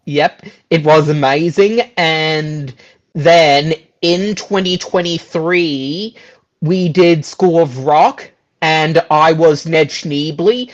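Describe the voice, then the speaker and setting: Slow, higher-pitched man. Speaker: guest, musical theatre performer. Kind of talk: casual interview talk. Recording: dull, lo-fi sound.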